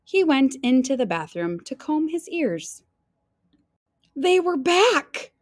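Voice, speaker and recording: A woman reading a children's picture book aloud in an expressive style, stretching key phrases for drama. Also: high-pitched, slow pace, dry recording, clean studio sound.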